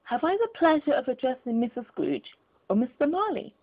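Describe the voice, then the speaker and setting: A woman voicing a courteous, formal, earnest gentleman collecting for charity in a storybook reading. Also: high voice, big pitch swings, a little fast, pitched above the performer's own voice, heavily acted. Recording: muffled phone-like narrow sound.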